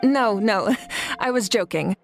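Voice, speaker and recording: A gracious warrior leader in a video game. She sounds confident and good-humoured at a middling pitch, with measured delivery and faint music underneath. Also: animated, gravelly. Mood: amused. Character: confident, gracious warrior woman leader, passionate about competition. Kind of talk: video game character acting.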